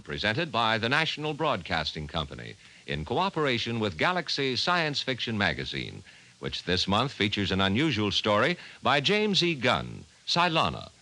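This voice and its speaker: A man's voice: lowish voice, sharp tone, rough recording. A man announcing formally and emphatically from a script, in the style of 1950s network radio. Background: music.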